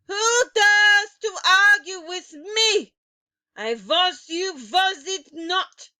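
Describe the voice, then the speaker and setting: A woman voicing a furious, commanding witch tyrant in a storybook reading, with an imperious, drawn-out, shrieking delivery. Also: very high-pitched, pushed and bright, pitched above the performer's own voice, heavily acted. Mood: outraged. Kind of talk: dramatic storybook character reading.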